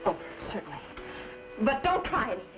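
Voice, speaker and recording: A spirited young schoolteacher in a radio western. Her raised voice is feisty and righteous. The old recording is dull, with clear music behind her. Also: fairly high pitch, very animated, brisk. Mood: defiant.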